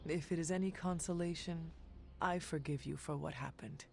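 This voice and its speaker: A woman playing a stoic but compassionate warrior hero in a video game, speaking in a measured, formal way. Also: low-pitched, fairly even intonation, vocal fry, darkish tone, a little hiss or hum. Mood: gentle, consoling. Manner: measured, formal, few contractions.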